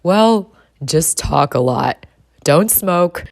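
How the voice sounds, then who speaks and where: A woman in her thirties with a husky voice, chatting casually as a vlogger about her trading. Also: fairly low pitch, extremely slow, no echo, studio quality. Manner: relaxed and chatty, with light laughs. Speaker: vlogger and trader. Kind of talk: casual vlog narration.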